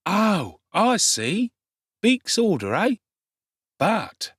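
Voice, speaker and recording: Swaggering Cockney urchin for a classic audiobook: high, wildly animated delivery, brash and knowing. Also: male voice, extremely slow, breathy edge, no room sound, studio quality, heavily acted. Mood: amused, knowing. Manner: brash, slangy, self-assured. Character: cocky streetwise Cockney boy, swaggering young pickpocket.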